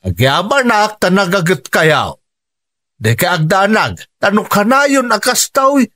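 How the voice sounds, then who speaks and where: High-voiced, reckless Ilocano gambling husband. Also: male voice, sing-song, unhurried, pushed and bright, no echo, studio quality, exaggerated voice. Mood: eager. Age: middle-aged. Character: reckless gambling farmer husband, hopeful, stubborn. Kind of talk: Ilocano radio drama acting.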